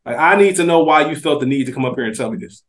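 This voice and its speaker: A man's voice: a little high, expressive, racing. An American man co-hosting a casual TV recap podcast, talking in slang and repeating phrases for emphasis. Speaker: podcast co-host.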